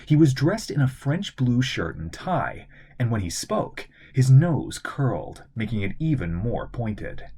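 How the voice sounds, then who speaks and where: A man narrates a children's adventure novel in an expressive, theatrical way. His husky voice moves with a hugely varied pitch. The delivery is scripted storytelling. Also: a little slow. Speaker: audiobook narrator, voice actor.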